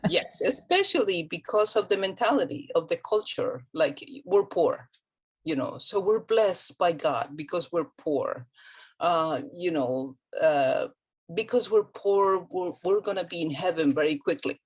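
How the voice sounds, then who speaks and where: A woman from Colombia, talking as an interview guest, pausing often with ums and restarts. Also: lowish voice, lively intonation, slightly rough. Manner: hesitant, many ums and restarts. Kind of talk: casual interview conversation.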